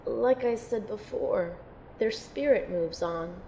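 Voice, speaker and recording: In a roomy recording, a woman acts a young female pupil in an audio drama, hesitant and plain-spoken. Her voice is mellow with a lot of creak, and she sounds reluctant. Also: slow pace. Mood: earnest. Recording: roomy amateur recording, faint rumbling noise.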